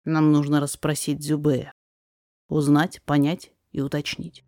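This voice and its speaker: Woman as a wise male sorcerer, calm, hushed, measured, cautious, rhymed audio drama, music underneath. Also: low voice, pitched below the performer's own voice. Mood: cautious, steady. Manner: measured, quiet, deliberate.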